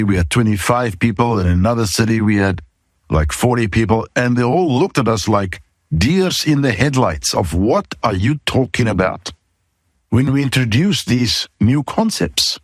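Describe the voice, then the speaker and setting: A middle-aged or older man with a South African accent, hosting an informal podcast talk in a candid, emphatic way, pausing between short phrases. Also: on the low side, dry recording, clean studio sound. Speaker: podcast host, safety consultant.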